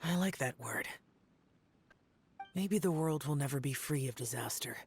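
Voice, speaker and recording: A woman voicing a stoic, mournful young male immortal guardian in a video game, speaking in a solemn, measured, restrained way. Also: very deep voice, lively intonation, a little mellow, faint music behind. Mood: mournful, reflective. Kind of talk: video game cutscene acting.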